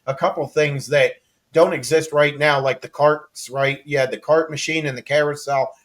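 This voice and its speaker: A middle-aged man with a voice a little higher than average hosts an interview. He speaks casually and conversationally with his guest. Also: steady tone, normal speed. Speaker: interview host.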